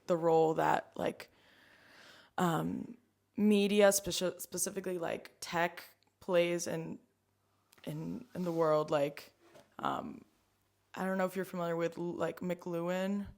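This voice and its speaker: アメリカ訛りの若い女性、やや低めの声. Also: female voice, fairly low pitch. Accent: American. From United States. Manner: chatty, frequent "like" and "um", reflective. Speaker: podcast guest, climate activist. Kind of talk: casual conversation.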